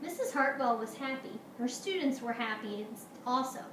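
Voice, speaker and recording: A woman teacher doing an expressive picture-book read-aloud for her pupils. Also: high-pitched, moderate pace, distant mic. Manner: expressive, animated storytelling. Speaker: schoolteacher reading to pupils. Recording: roomy, echoey, noisy home-style recording.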